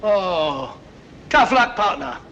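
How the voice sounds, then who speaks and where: An actor playing a genial, bluff older English sidekick in a 1940s film, chatty and exclamatory, with a British accent. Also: male voice, sits high, sing-song, slow pace, lo-fi sound, full character voice. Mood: jovial. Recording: noisy old 1940s film soundtrack.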